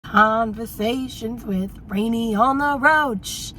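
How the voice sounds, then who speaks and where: A young woman talks casually to her camera with a hoarse voice that is nearly gone. She rambles and repeats phrases with playful, mock-dramatic sighs. A faint hum of background noise sits under her voice, as if she is in a car. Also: on the high side, slow, pushed and bright. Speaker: book vlogger. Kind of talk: casual vlog chat. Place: in a car on the road.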